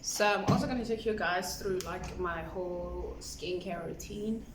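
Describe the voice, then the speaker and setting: A woman's voice: fairly low pitch, measured pace. Young woman, chatty and rambling, roomy room, camera mic a little distant, faint room hum. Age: 20s. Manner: chatty, rambling, fills with "like", laughs often. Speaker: vlogger. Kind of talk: casual vlog chat. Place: indoor room at home. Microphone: camera on a stand.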